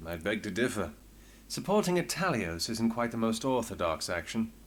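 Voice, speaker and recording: An actor playing a composed, wry investigator with a measured, polite manner in a fully voiced video game. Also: male voice, fairly low pitch, animated, slight background noise, natural voice. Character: composed male investigator, former street thief, wry and courteous. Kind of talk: scripted video game voice acting.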